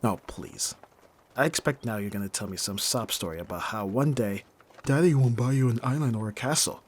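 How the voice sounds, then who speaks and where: A man playing a bitter, hardened young thief in an ASMR roleplay, speaking with mockingly courteous, formal phrasing and pointed pauses. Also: medium pitch, slight background noise, lightly acted. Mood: controlled, sardonic. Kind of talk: scripted ASMR roleplay acting.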